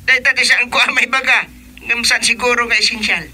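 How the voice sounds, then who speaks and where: Man playing an aggrieved, high-pitched village chairman, Ilocano accent. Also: little pitch movement, fairly quick, pushed and bright, full character voice, rough recording. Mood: indignant. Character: aggrieved male barangay chairman, sarcastic and complaining. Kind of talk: Ilocano radio comedy drama acting.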